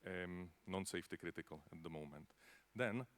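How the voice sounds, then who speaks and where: A man's voice: low-pitched, moderate pace, fairly bright tone. A man from the Czech Republic with a Czech accent, answering on a conference panel in a conversational way, with many fillers and tag questions. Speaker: panelist from a space agency.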